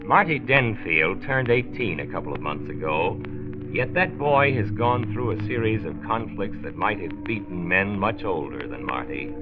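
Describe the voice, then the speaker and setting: An actor playing an authoritative county doctor who hosts and narrates a 1940s radio drama, formal and deliberate on an old recording. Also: male voice, sits low, animated, dull audio, music in the background. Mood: solemn, announcing.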